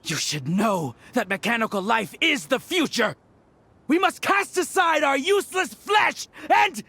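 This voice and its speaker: An actor playing a bitter, fanatical scientist villain in a video game, theatrical and accusing. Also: male voice, very high-pitched, sing-song, a little slow, sharp tone, slightly rough, dry recording, heavily acted. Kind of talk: video game cutscene acting.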